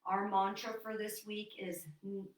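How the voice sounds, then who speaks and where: A woman fitness instructor leading a seated online exercise class, chatty with fillers, then giving calm breathing cues. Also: medium pitch, measured pace. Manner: chatty and apologetic, with many fillers. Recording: roomy echo, distant from mic.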